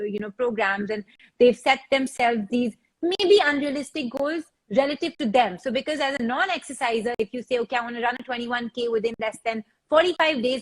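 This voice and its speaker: A woman's voice: fairly high pitch, low-quality recording. A woman, explaining as a fitness trainer on a discussion panel, repeating words as she thinks aloud. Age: 40s.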